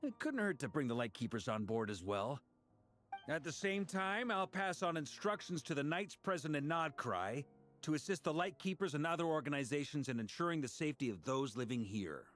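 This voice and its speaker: Lively, rallying knight commander. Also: male voice, a little high, brisk, soft background music. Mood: upbeat, commanding. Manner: informal, rousing pep-talk delivery. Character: confident, rallying male knight commander, easygoing and gutsy. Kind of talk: video game voice acting.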